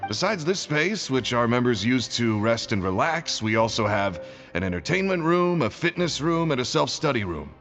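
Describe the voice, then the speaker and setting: A man's voice: medium pitch, very animated, normal speed, a bit bright, faint music behind. An actor playing a courteous, earnest male guide in a video game, polite, formal and welcoming. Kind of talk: video game dialogue acting.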